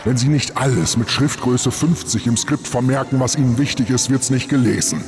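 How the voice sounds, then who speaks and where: A man's voice: medium pitch, moderate pace. A man hosting a satirical comedy sketch as a judge, sarcastic and withering, building into ranting mockery. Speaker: host playing a judge.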